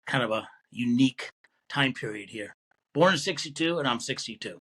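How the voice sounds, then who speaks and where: Husky-voiced man in his sixties with a Connecticut accent. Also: mid-range voice, animated, moderate pace, sharp tone. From Connecticut, USA. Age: 60s. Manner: easygoing, chuckling, telling his life story. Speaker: guest, retired Marine officer. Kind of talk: casual interview conversation.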